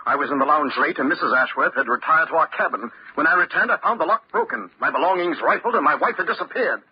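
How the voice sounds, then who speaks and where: An agitated husband in a vintage radio play. His voice is very rough and fairly high, urgent with worry. The recording is dull. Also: quick pace, dry recording. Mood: frantic, worried. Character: distraught, anxious husband, a diamond courier. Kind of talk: old-time radio drama acting.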